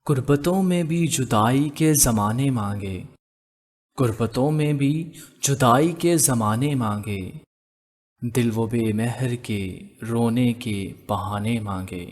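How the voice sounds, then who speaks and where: A man voicing a romantic young man who recites love poetry slowly, lingering over and repeating lines in an audio novel. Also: mid-range voice, darkish tone. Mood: wistful. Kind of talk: slow Urdu poetry recitation.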